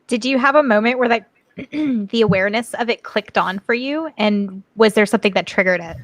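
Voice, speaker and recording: A woman's voice: on the high side, fairly quick, no echo, rough recording. A woman hosting a podcast, chatting casually in a thoughtful way and laughing easily. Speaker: podcast host. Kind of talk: casual chat.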